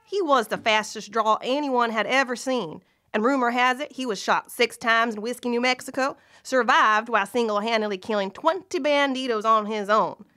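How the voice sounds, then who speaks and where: A woman's voice: fairly high pitch, normal speed, few pauses, pushed and bright. A woman playing a folksy, dramatic Old West narrator in an audio play. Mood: storytelling.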